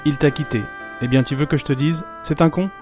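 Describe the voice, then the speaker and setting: An actor playing a caring, insistent high-school boy, a loyal friend, in an amateur audio drama. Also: a little fast, music in the background, natural voice. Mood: insistent, encouraging.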